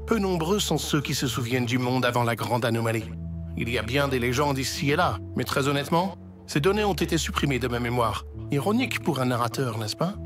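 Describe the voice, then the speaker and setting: A man voices a video game's built-in narrator in a mid-range voice. The delivery is wry and tongue-in-cheek, like a storyteller who loses the thread. Music plays clearly behind him. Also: mid-range voice, expressive, fairly quick, natural voice. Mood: wry, grand. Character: wry, forgetful male narrator program of a video game. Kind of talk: comedic storybook-like game narration.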